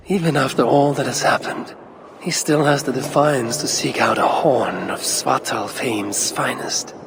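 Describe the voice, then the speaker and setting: An actor playing a brooding, resolute Norse warrior god in a video game, speaking gravely and deliberately in a gravelly voice. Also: male voice, medium pitch. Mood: musing, investigating. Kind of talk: video game dramatic acting and musing. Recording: loud background ambience and noise.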